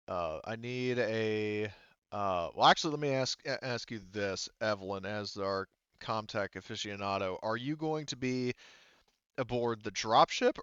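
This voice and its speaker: Low, smooth-voiced man as game master. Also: a bit bright, no room sound, dull audio. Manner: halting, casual, thinking aloud. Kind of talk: improvised narration of a tabletop roleplaying game.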